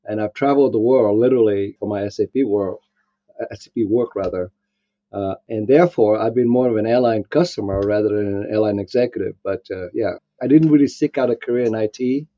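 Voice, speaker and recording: A man's voice: lowish voice, a little fast, mellow, no room sound, lo-fi sound. A man in his sixties who grew up in Vietnam, answering interview questions in a reflective way, with many fillers and restarts. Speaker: guest.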